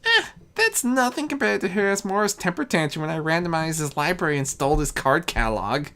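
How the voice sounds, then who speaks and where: A woman's voice: on the low side, big pitch swings, a little fast, fairly bright tone, voice pushed up. A woman voicing a mischievous, boastful young male chaos spirit in a story, grumbling and bragging offhandedly. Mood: offhand boasting. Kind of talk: fan fiction story reading.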